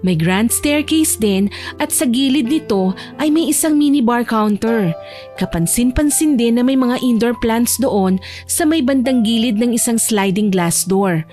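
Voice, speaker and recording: A woman's voice: a little high, unhurried, darkish tone. A woman reading a scripted romance story as a dramatic audiobook narrator. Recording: background music under the voice. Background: music.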